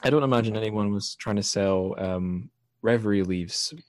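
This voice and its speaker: A man with a low voice hosts a literary discussion, recorded close and dry. He speaks casually, feeling his way through long, discursive thoughts. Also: normal speed, no echo. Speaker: podcast host.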